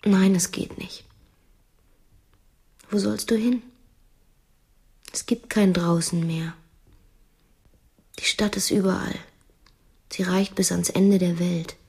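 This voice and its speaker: An actress playing a rebellious, secretive woman in a German radio drama, speaking in a hushed, intimate, often breathy way. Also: lowish voice, steady tone, takes it slowly, long pauses, full character voice. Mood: despairing resolve. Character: Madeleine, rebellious woman secretly vowing to free an ape.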